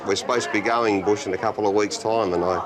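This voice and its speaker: A bomb disposal expert speaking in an easygoing, reflective way. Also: male voice, lowish voice, fairly even intonation, rapid-fire, roomy sound, a crowd in the background, low-quality recording. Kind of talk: interview and casual chat.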